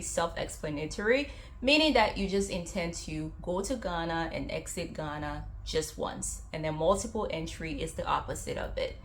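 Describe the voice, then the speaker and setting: A young woman from Liberia talks straight to her viewers in a chatty vlog, explaining travel rules and slipping into quick, lively asides. Her voice sits fairly low, with a faint hiss of background behind her. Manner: chatty storytelling, acts out quotes with lively jumps. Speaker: travel vlogger.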